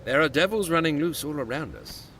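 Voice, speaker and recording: An actor playing a pompous, mock-genteel British explorer turned sinister cannibal in an audio drama, with old-fashioned phrasing. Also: male voice, fairly high pitch, big pitch swings, fairly quick, bright, forceful tone, slight background noise, exaggerated voice. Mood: falsely courteous. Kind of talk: theatrical audio drama acting.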